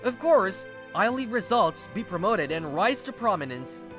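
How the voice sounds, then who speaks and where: A woman's voice: medium pitch, sing-song, a little slow, over music. A woman voicing a stubbornly guarded, exasperated young male office recruit in a manga dub, narrating and speaking his lines defensively. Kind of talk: dramatized first-person story narration and dialogue.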